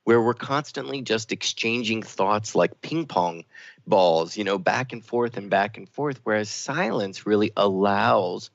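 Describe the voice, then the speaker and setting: Male radio co-host, lowish register, affirming and reflective, dry narrow-band telephone sound. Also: measured pace, gravelly, no echo. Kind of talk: reflective talk radio conversation. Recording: thin phone-like sound, very dry.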